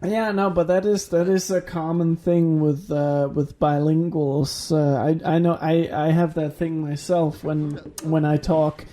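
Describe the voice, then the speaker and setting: A man whose first language is Danish, co-hosting a casual podcast chat, talking haltingly with many fillers and restarts. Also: a little high, level tone, mellow. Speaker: podcast co-host.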